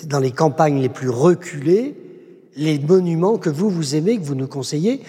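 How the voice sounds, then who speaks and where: Male interviewer, slightly high and mellow, measured and probing, reverberant room. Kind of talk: cultural interview questions.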